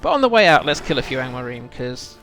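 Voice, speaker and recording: Man with creaky voice, casual game streamer. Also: mid-range voice, sing-song, fast, fairly bright tone, soft background music. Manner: rambling, thinking aloud, addressing chat. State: feels full of cold, often clearing throat. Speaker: game livestream host. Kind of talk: relaxed casual chat while gaming.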